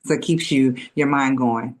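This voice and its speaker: A woman speaking casually in a group discussion, animated and repeating phrases. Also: extremely low pitch, moderate pace. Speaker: actor at a table read.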